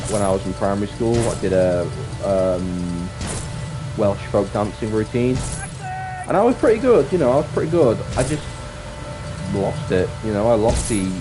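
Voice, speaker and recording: A man with a deep voice chatting casually on a livestream, rambling reflectively and often restating his phrases. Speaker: streamer and actor. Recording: music playing behind the voice. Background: clear background music.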